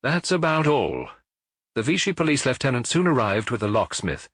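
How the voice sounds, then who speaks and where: A man reading an audiobook, voicing a middle-aged male police officer who gives his report in a measured, matter-of-fact way. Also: medium pitch, sing-song, a bit bright, no echo, clean studio sound. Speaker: audiobook reader.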